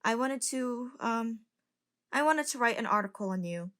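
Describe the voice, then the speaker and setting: A woman voicing a nervous, persistent young journalist in an amateur audio drama, talking in a hesitant, halting way. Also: a little high, animated, a bit bright.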